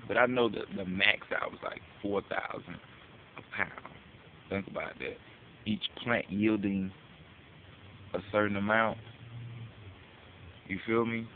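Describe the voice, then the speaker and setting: American man, low pitch, informal advocacy speech, slangy and sweary, rhetorical questions, thin phone-like sound. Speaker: speaker giving a public address. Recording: tinny phone-like audio with hiss.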